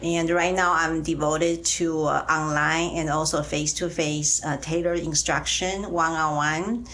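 A middle-aged woman from Taiwan with a Taiwanese accent, answering interview questions conversationally and haltingly, often pausing mid-phrase. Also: deep voice, fairly even intonation, a bit bright. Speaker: interview guest, teacher. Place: radio studio.

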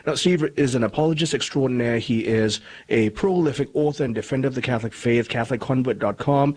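A man hosting an interview show, introducing his guest in a polite, measured way. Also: mid-range voice, a little mellow. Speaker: host.

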